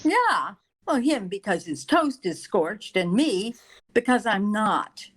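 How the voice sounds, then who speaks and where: A wry, wisecracking housewife from 1940s radio comedy, played by a woman. Her voice is mid-pitched, with intonation that swings freely up and down. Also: very animated, slow pace, breathy edge, exaggerated voice. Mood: wry complaining. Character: dry, wisecracking married housewife, the best friend.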